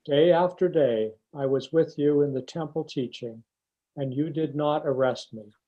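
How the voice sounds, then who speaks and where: A man's voice: fairly high pitch, unhurried, mellow. A man reading scripture aloud as a church member, on a dull lo-fi recording. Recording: dull, muffled lo-fi sound.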